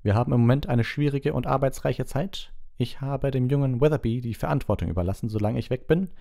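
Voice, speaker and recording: A man's voice: mid-range voice, a little fast, creaky, warm tone, voice pushed up, lightly acted. Man acting a stern elderly official, raised voice. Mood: impatient, weary. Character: weary elderly male ministry official, stern and formal.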